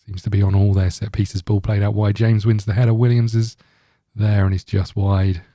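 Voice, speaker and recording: A man's voice: fairly low pitch, level tone, moderate pace, dark tone, no echo, clean studio sound. A man hosting a gaming video, giving casual let's-play commentary in a rambling, thinking-aloud way.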